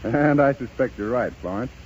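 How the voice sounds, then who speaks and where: A man working as a radio announcer, delivering a scripted 1930s sponsor pitch. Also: moderate pace, a little mellow. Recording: muffled, noisy 1930s radio broadcast.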